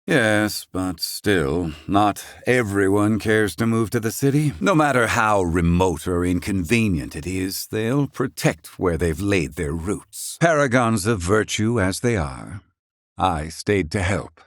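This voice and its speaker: An actor playing a proud, gruff, excitable older scholar-inventor in a video game, speaking in formal, archaic, theatrical phrasing. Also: male voice, on the low side, slow pace, dry recording, clean studio sound. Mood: measured, matter-of-fact. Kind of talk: video game character acting.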